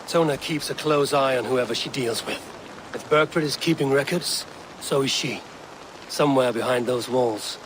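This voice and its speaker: An actor playing a determined, blunt Viking warrior in a video game, speaking in a terse, firm, deliberate way. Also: male voice, mid-range voice, noisy background, natural voice. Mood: resolved.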